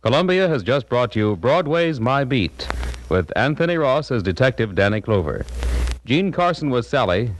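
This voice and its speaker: Man, classic network announcer, lively rising-falling delivery, crisp, booming. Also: normal speed, a little hiss or hum, rough recording. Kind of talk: formal 1950 broadcast announcing.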